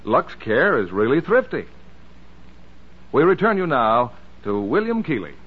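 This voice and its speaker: A man's voice: mid-range voice, sing-song, unhurried, husky. A man announcing a scripted 1940s radio advertisement. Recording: muffled 1940s radio broadcast.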